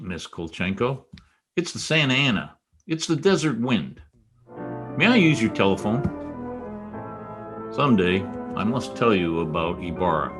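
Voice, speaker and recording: A man plays a hard-boiled private eye in a radio detective drama. His voice sits fairly low, with a wry, knowing delivery and a dogged streak. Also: heavily acted. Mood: breezy narration. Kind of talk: old-time radio detective drama re-creation.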